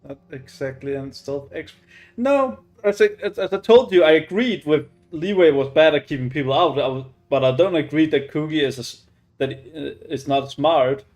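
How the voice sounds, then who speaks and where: A man livestreaming a game and chatting casually, halting and repeating himself. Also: fairly high pitch, darkish tone. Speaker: game streamer.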